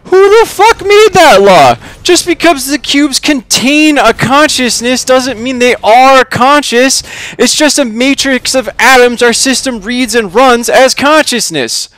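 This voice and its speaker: A man's voice: mid-range voice, unhurried, a bit bright, dry recording, lightly acted, poor audio. A man voicing an exasperated, sarcastic man in a short story, incredulous and emphatic as he argues with a bureaucracy. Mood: incredulous, outraged. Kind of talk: short story character read aloud.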